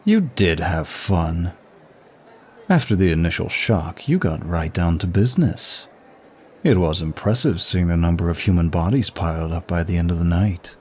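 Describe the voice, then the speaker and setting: A man playing a suave, teasing demon prince of lust in an ASMR roleplay, bored and sadistic, with a languid, husky delivery. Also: deep voice, expressive, normal speed, darkish tone, a little hiss or hum. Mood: smug, reminiscing.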